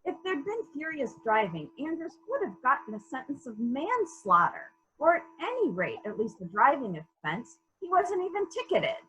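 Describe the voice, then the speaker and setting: A woman's voice: high voice, sing-song, normal speed, few pauses, fairly bright tone, rough recording. A woman playing a probing, methodical female police detective in a dramatic reading of a murder mystery, musing and questioning as she goes. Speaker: actor in a library's dramatic reading.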